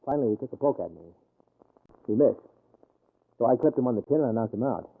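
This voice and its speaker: An actor playing a troubled, bewildered murder suspect, earnest and uncertain, in an old-time radio crime drama. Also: male voice, medium pitch, quick pace, lightly acted. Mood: troubled, recounting. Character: troubled, bewildered male murder suspect recounting his night. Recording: muffled, narrow-band vintage radio recording.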